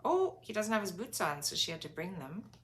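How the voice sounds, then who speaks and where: A woman reading a children's storybook aloud in an expressive, emphatic way, drawing out words for young listeners. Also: lowish voice, brisk, a little hiss or hum.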